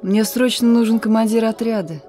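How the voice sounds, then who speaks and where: A woman's voice: fairly high pitch, fairly quick, warm tone, close to an everyday voice. Woman playing a young female wartime messenger, tired, defiant, familiar needling manner, radio play, faint music. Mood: impatient, tired. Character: weary, defiant, teasing young woman, partisan messenger under arrest. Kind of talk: Russian radio play acting.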